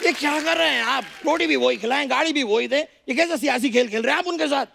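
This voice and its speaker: Shrill, gravelly overgrown son. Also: male voice, fast, crowd noise, background noise, heavily acted. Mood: exasperated. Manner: melodramatic, forceful shouting delivery. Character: overgrown, obedient son, loud film-hero parody. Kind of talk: stage sketch comedy.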